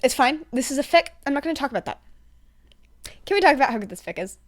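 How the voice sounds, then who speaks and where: A young woman with a high voice rambles in a casual, chatty way. She is excitable and emphatic, peppering her talk with slang and swearing as she addresses her listeners. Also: quick pace. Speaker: podfic reader.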